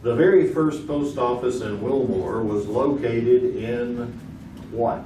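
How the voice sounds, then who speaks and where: A man's voice: medium pitch, takes it slowly. A man hosting an old-time radio style quiz show, joking and wisecracking with contestants. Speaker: game show host. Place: live stage before an audience. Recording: roomy, distant, noisy stage recording.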